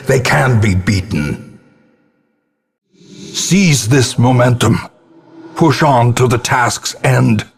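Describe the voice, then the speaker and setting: A husky, very deep, brooding ancestor. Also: male voice, heavily acted. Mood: rousing. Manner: portentous, measured, ornate diction. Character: grim, brooding old male ancestor, ominous gothic narrator. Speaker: game narrator. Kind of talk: theatrical scripted gothic game narration.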